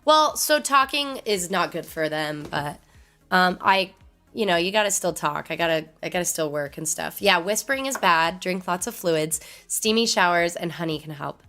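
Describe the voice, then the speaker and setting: A woman with a hoarse, strained voice sitting a little low in pitch. She talks casually to her stream viewers and rambles on while she replies to their comments. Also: expressive, normal speed, pushed and bright, soft background music. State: hoarse from laryngitis. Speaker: musician livestreamer.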